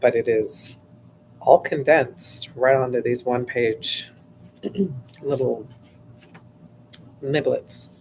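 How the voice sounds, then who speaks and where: A hoarse woman hosts a casual livestream, talking at an unhurried, drawn-out pace. She rambles chattily, leaning on "like" and "um" and clearing her throat again and again. A faint low rumble sits under her voice. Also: a little mellow, poor audio. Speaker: host.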